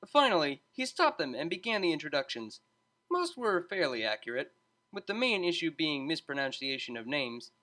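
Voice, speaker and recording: A woman's voice: sits low, very animated, moderate pace, pushed and bright. A woman reading scripted story narration expressively.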